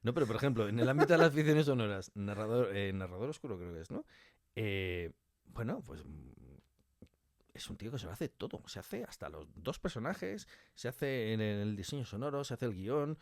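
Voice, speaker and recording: A middle-aged man from the world of audio fiction speaks with a Spanish accent from Spain in a low voice. He answers conversationally, reflecting aloud and building examples as he goes. The sound is dry, with very little room in it. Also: very animated, normal speed, darkish tone, no room sound. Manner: reflective, thinks aloud with examples and imagined quotes. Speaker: interviewed audio fiction writer and director.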